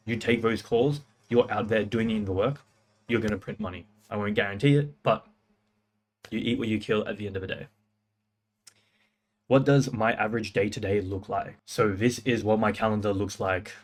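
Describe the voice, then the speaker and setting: A young man, his voice sitting a little low. He talks straight to camera in a casual, self-assured way, firing off short punchy phrases and slang. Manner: fast, punchy, confident delivery with slang. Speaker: music producer and sales coach. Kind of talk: casual talking-head advice.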